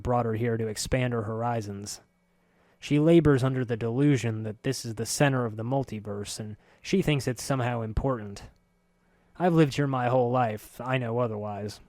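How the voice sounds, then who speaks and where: A man's voice: mid-range voice, a little mellow, no echo, close to an everyday voice. A man reading a story plays a stern, dismissive middle-aged man who speaks in a staccato way, clipping each word.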